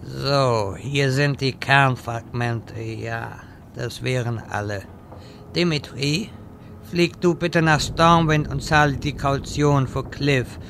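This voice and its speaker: A man playing a wise, exasperated old wizard in a fantasy parody radio play, speaking deliberately and dryly. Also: medium pitch, gravelly, a bit bright, slight background noise. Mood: commanding. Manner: slow, deliberate, dry.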